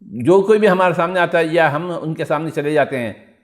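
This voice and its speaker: A man's voice: a little high, normal speed. A man teaching meditation in an exhorting spiritual discourse, repeating his phrases and posing rhetorical questions.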